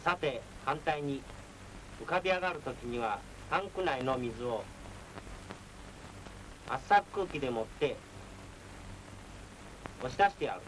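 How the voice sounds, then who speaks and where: A man giving a technical military lecture as a naval instructor, measured, formal and authoritative. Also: mid-range voice, expressive, fairly bright tone. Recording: noisy 1940s film soundtrack.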